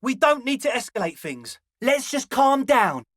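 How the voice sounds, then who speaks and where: A man's voice: extremely high pitch, big pitch swings, fairly bright tone, no echo, clean studio sound, heavily acted. A male actor voicing a young, slangy club hustler in a video game, conciliatory and laid-back with a pleading manner. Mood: placating. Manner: slangy, placating, drawn-out pleading. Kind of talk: video game character dialogue.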